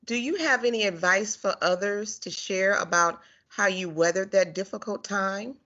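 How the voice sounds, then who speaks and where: A woman's voice: medium pitch, moderate pace, pushed and bright. A woman working as a care ombudsman, asking interview questions in a friendly, casual way. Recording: dull lo-fi recording.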